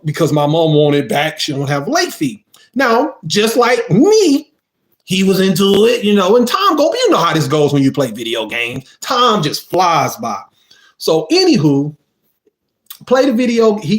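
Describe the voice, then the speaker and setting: Animated man telling a story. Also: a little high, moderate pace. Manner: animated, acts out quotes, asks "you see what I mean?". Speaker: storytime vlogger. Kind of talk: casual storytelling to viewers.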